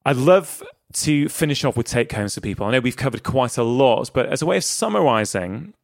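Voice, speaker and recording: A man's voice: mid-range voice, lively intonation, a little fast, gravelly, no echo, studio quality. A man hosting a podcast interview, asking open questions in an earnest, appreciative way. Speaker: podcast host.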